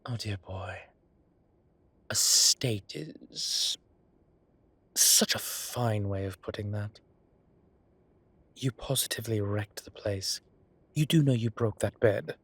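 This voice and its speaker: An urbane, playful boss as a man acts him out in a roleplay audio drama. He draws out his refined words very slowly, arch and theatrical yet fond. The recording is close and dry. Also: lowish voice, a bit bright, slightly rough, no room sound, full character voice. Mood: amused, teasing. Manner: arch, drawling, refined wording, teasing.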